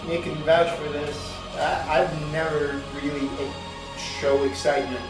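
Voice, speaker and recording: A man with a slightly raspy voice, chatting casually about movies as a podcast guest. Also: on the high side, flat delivery, a little slow, distant mic. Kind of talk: casual movie discussion with a friend. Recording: echoey room, off-mic, music underneath. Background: music.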